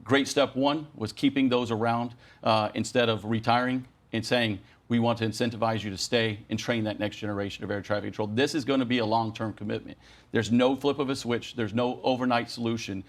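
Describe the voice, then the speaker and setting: A man gives a news interview as a union spokesman. He sits in a middle pitch range and argues his case earnestly, with emphatic, rallying phrases strung into long sentences. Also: fairly even intonation, brisk. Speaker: air traffic controllers' union president.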